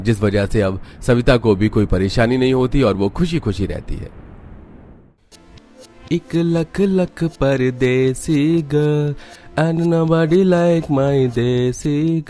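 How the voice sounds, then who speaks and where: A man's voice: lowish voice, darkish tone. Man narrating with music behind. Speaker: story narrator. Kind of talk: scripted cartoon story narration.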